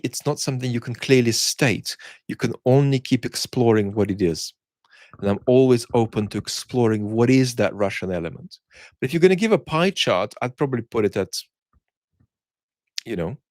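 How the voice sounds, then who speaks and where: A man hosting an online chat, talking reflectively and conversationally in articulate, opinionated phrases, often pausing and restarting words as he searches for the right one. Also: medium pitch, darkish tone, no echo. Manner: halting, searching phrasing with repeated word starts. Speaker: host of a live chat for an online community.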